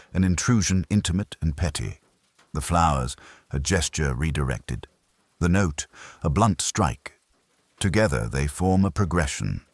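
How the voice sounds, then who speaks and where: A man voicing an imperious, cerebral private detective in an audiobook, speaking in formal, pedantic, measured pronouncements. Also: extremely low pitch, slightly rough, no room sound, full character voice. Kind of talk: audiobook character dialogue.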